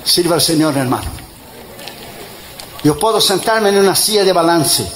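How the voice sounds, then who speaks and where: A man in his fifties, an evangelist preaching a sermon, tired, emphatic, mixing jokes with loud rising exhortation. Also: a little high, animated, normal speed. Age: 50s. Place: church in front of a congregation. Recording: echoey church with crowd and noise.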